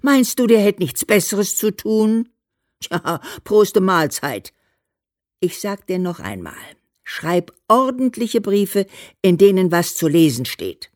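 A woman's voice: medium pitch, big pitch swings, takes it slowly, a little mellow, dry recording, studio quality. An actress playing a practical old mother who scolds and teases in an emphatic, colloquial way in a radio play. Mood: mocking, scolding. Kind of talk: dramatized letter reading in a radio play.

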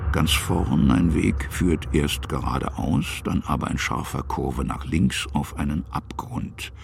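Sober radio drama narrator, bottomless bass with a husky grain, spare and deliberate literary phrasing. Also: male voice, no echo, clean studio sound, heavily acted. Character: sober narrator describing scenes, addressing the listener.

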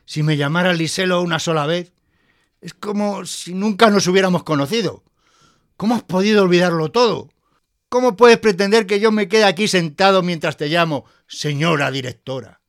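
A man performs a lovelorn schoolteacher in an audio drama, his voice on the high side. He is nervous and hesitant, and wounded reproach runs through his impassioned delivery. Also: normal speed. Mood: indignant, pleading. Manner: hesitant, stammering, turning impassioned. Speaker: actor.

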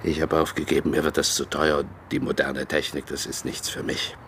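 An old East German dog handler with an extremely deep, forceful voice. He is gruff and wryly nostalgic, letting halting, terse phrases fall without hurry. It is radio drama dialogue with some noise behind it. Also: little pitch movement. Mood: resigned. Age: older.

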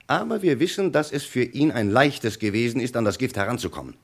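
A man's voice: medium pitch, very animated, fairly quick. A man playing an insistent, methodical police commissioner in a German radio crime play, speaking precisely and emphatically. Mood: insistent, assured.

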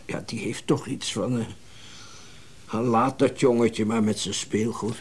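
Slow, husky, lonely boss. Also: male voice, mid-range voice, steady tone. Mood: musing, awed. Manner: slow, musing, intimate. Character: wealthy company boss, lonely, admiring and possessive. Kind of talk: naturalistic Dutch radio drama acting.

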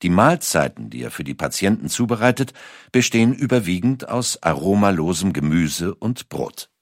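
A man reading scripted documentary narration in a measured, carefully articulated storytelling manner. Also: deep voice, very animated, no room sound, studio quality.

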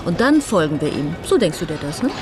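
A woman's voice: fairly low pitch, big pitch swings, fairly quick, music in the background. A woman acting a newly widowed lawyer's wife in a German radio crime drama, questioning and hesitant. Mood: sceptical.

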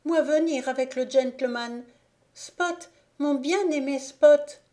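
A woman's voice: high-pitched, takes it slowly, dark tone, pitched above the performer's own voice. A woman voicing a feverish, delirious young boy who pleads and rambles in a storybook reading. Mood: ecstatic. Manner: raised, breathless, pleading delivery.

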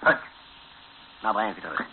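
A man's voice: on the low side, fairly quick, lightly acted. An actor in a radio play as a bossy male army colonel, curt and commanding. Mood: insistent. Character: brisk, bossy army colonel giving orders. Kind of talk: radio drama acting. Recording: tinny, slightly noisy old radio recording.

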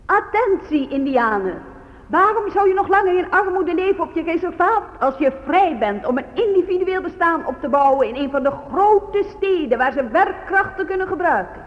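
An actress playing a preachy, patronizing government announcer in a radio play, in a declamatory manner. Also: female voice, extremely high pitch, lively intonation, moderate pace. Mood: promotional. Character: preachy female government announcer, patronizing toward Native Americans. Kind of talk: Dutch radio play acting. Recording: muffled recording with long reverb.

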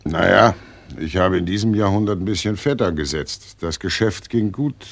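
An actor playing Death as a fat, morbidly jovial undertaker in a radio play, gravelly and very deep, offhand and poetic in manner. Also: male voice, normal speed. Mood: smug, morbid. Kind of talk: post-war German radio play acting.

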